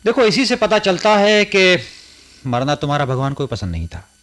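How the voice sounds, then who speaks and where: Earnest, expressive lovelorn gentleman. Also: high-pitched, normal speed. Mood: kind, urgent. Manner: dramatic, earnest. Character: earnest, kindly, lovelorn man torn between two women. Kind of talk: Hindi radio play acting.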